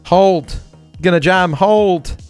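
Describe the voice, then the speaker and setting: Faint music plays behind a man with a fairly high-set voice. He hosts a live poker stream and commentates on each decision as he goes. He leans on short, repeated catchphrases between his explanations. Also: slow pace, smooth. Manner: talks through hands aloud, repeats short catchphrases.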